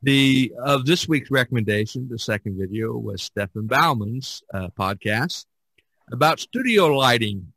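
Guy hosting a chatty podcast, kinda halting, repeats himself, dry sound. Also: medium pitch, animated, a bit bright, no echo, poor audio. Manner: hesitant, halting with repeated words. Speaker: podcast host. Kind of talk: casual podcast chat.

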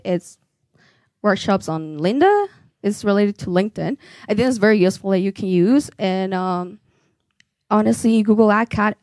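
A woman's voice: medium pitch, darkish tone, no room sound. A young woman in her twenties from Ecuador, giving earnest, encouraging advice to students into a handheld mic in a conference room. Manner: earnest, encouraging, many fillers. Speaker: graduate speaker.

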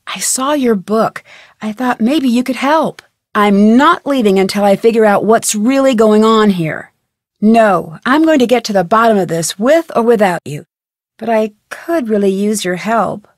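Casting: young female sleuth in a mystery game; higher-pitched, curious, determined, questioning delivery, close dry recording. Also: a little mellow, no room sound. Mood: determined, earnest. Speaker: video game voice actress. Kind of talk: scripted game dialogue, conversational questions.

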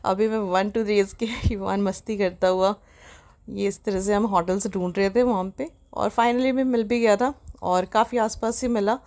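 A young woman narrating her travel vlog in a casual, chatty way, often excited and mixing in English phrases. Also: mid-range voice, normal speed. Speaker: travel vlogger.

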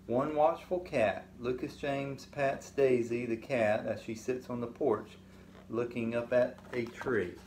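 A middle-aged man, a grandfather, reading a picture book aloud to his grandchild in a living room, slow and measured. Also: mid-range voice, steady tone, a little mellow. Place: living room couch, indoors. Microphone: phone or webcam in front of the couch. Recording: roomy echo, faint hiss.

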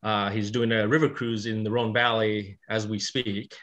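Bright-voiced man on a video call. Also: lowish voice, normal speed, lo-fi sound. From California. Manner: plain, steady recounting with fillers. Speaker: winemaker. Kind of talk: video call panel talk.

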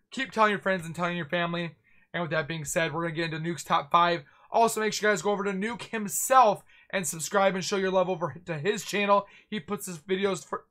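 A young man with a scratchy, sick voice chats eagerly as he hosts a reaction video. His voice sits high and sounds bright and sharp. He talks close into a headset in a small gaming room. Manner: excitable, chatty. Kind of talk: casual reaction commentary.

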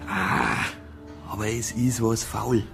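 An actor playing a dogged, suspicious police detective in a radio crime drama, speaking colloquially and insistently with a Bavarian accent. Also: male voice, lowish voice, big pitch swings, takes it slowly, creaky, full character voice. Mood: brooding, insistent. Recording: music behind the voice.

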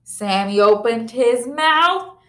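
A young woman teacher reading a picture book aloud to children, animated and playful, with big gasps and leaps in pitch. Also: slow pace. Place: small classroom, indoors. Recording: echoey classroom.

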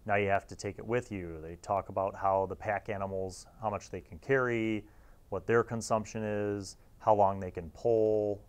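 A man's voice: fairly low pitch, fairly even intonation, normal speed, a little mellow, smooth. A man in his forties talking casually and wryly to camera as a host reviewing things, on a lapel mic in a small studio. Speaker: host reviewing old gaming magazines. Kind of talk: casual, informal commentary to camera.

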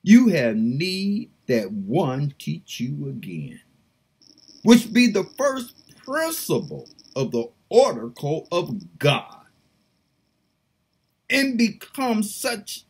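Slow husky woman praying fervently. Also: low voice, a little mellow. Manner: fervent, pleading, slow and drawn out. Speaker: preacher. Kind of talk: impassioned prayer and scripture reading.

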